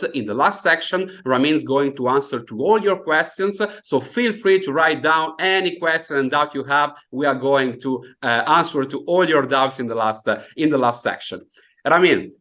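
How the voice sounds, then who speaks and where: A man's voice: on the high side, a bit bright. A man in his thirties from Italy with an Italian accent, hosting an online finance seminar over a video call with many fillers and hesitations. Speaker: webinar host. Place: indoor home room, video call.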